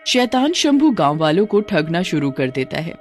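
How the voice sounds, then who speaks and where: A narrator tells a scripted cartoon folk story. The pitch moves up and down in a lively way around a middle register. Music plays behind the voice. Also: moderate pace. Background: background music.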